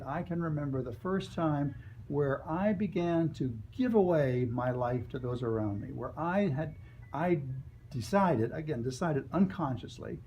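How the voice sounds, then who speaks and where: A man from the United States, an author reading his memoir and reflecting on it in a deliberate, dramatic storytelling manner. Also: on the high side, darkish tone, slight background noise, rough recording.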